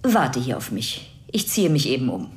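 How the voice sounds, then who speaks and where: A woman's voice: a bass voice, sing-song, normal speed, slight background noise. An actress playing a scornful, bitter estranged woman who speaks in a cutting way. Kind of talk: radio play acting.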